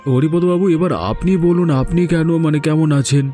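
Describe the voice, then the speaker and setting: A man's voice: on the high side, normal speed, warm tone, soft background music, voice pushed up, close to an everyday voice. A man voicing a poor, humble middle-aged farmer in a cartoon, speaking deferentially and hesitantly. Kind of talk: Bengali cartoon dubbing.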